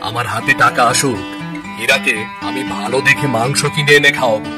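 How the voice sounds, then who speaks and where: A man voicing a gentle, doting village father in a cartoon, soothing and affectionate in manner. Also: medium pitch, brisk, natural voice. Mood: reassuring. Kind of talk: cartoon voice acting. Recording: music under the voice.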